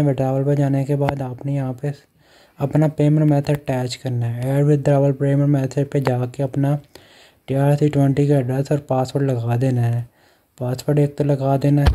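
Flat-voiced man. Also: medium pitch, a little hiss or hum. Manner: instructional, repeats step-by-step directions. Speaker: online earning channel host. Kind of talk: screen-recorded app walkthrough. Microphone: voice-over to phone screen recording.

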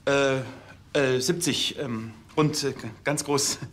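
An actor playing a bashful, awkward police officer in a TV comedy, hesitant and stammering. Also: male voice, medium pitch, steady tone, takes it slowly, fairly bright tone, slight background noise. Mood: flustered. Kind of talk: TV comedy acting.

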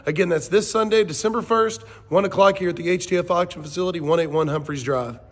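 A man in his forties giving a casual, folksy auction walkthrough, listing items for viewers on a handheld phone. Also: high voice. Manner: folksy, addresses viewers as guys. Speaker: auction house presenter. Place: large indoor auction hall and warehouse. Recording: echoey warehouse hall reverb.

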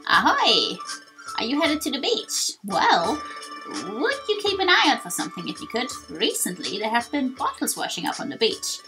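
A woman's voice: a little high, huge pitch swings, normal speed, poor audio. A woman voicing a friendly crab creature asking a favour in a video game. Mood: friendly, informative. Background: game music.

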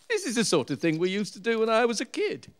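A man's voice: high-pitched, wildly swooping pitch, brisk. A man playing a jovial, curious older husband in a radio play, chuckling and colloquial. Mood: chatty, nostalgic.

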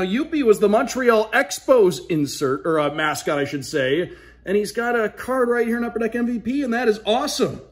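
A man hosting an unboxing video with casual, upbeat commentary, talking straight to the viewers. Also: sits high. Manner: upbeat, addresses viewers directly. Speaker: sports broadcaster hosting a card unboxing. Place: indoor room at a wooden table.

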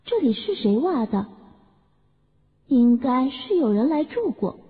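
A woman's voice: high-pitched, sing-song, measured pace, slightly rough, big-room sound, narrow, tinny audio, exaggerated voice. A woman voicing a nervous young woman exploring a cave, asking wavering questions.

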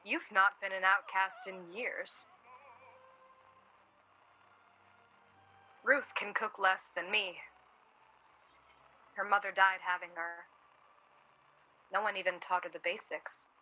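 A woman's voice: medium pitch, steady tone, unhurried, long pauses, sharp tone, lo-fi sound. An actress playing a spirited, dry-humoured young woman of the 1940s in a radio play, speaking matter-of-factly. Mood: dry, teasing.

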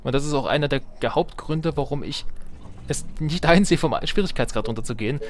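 A man's voice: fairly high pitch, fairly quick, soft background music. A man giving casual, playful let's play commentary, joking at himself and muttering asides as he plays. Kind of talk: casual gameplay commentary, talking to himself and viewers.

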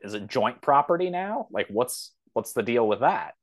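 A man in his thirties talking casually as a podcast guest, thinking aloud in a halting, thoughtful way. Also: medium pitch, very animated, no echo, studio quality.

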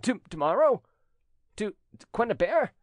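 A man's voice: high voice, sing-song, moderate pace, dry recording, voice pushed up. A man voicing a jealous, scheming spurned suitor in a storybook reading, with insistent, theatrical delivery. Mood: stunned, stammering.

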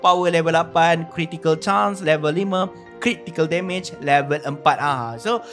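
Male gamer, Malaysian accent, high pitch, animated roleplay commentary, drawn-out words, music behind. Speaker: gaming YouTuber. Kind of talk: excited gameplay commentary with roleplay.

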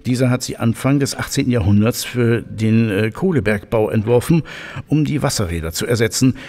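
An actor playing an enthusiastic, learned professor in an audio drama, lecturing with emphatic repetition. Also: male voice, medium pitch, brisk, a little mellow, natural voice. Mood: keen, correcting. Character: enthusiastic, learned male professor of old machines. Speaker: technical expert, professor. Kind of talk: German audio drama dialogue.

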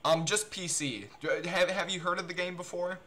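A man talking casually and excitably as a game streamer, with repetitive, stammering phrasing on a noisy livestream recording. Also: high voice, moderate pace. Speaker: game streamer making a prank call.

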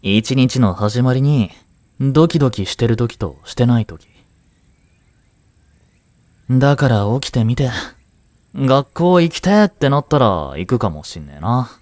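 A man playing a laid-back, cheeky, protective male high school student in a romantic audio drama, with casual, slangy, reassuring delivery. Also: sing-song, unhurried, pauses often, a little mellow, dry recording. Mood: relaxed, candid.

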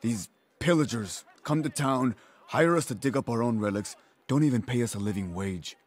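A man's voice: mid-range voice, normal speed, close to an everyday voice. A man playing a weary, downtrodden young villager in a video game cutscene, with a resigned, sardonic way of talking. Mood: bitter, weary.

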